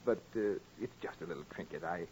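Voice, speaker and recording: A man's voice: low-pitched, fast, a little mellow, breathy edge. An actor in a 1940s-style radio drama playing a nervous, evasive gambler courting a young woman, hesitant and stammering. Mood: flustered. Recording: noisy old radio recording.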